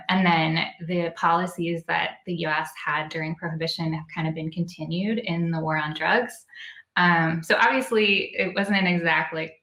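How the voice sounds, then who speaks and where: Female author guest, informal and conversational, lowish pitch, very roomy recording. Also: fairly bright tone. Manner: informal, with frequent "like" and "kind of". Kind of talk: casual interview talk. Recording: very roomy, echoey sound.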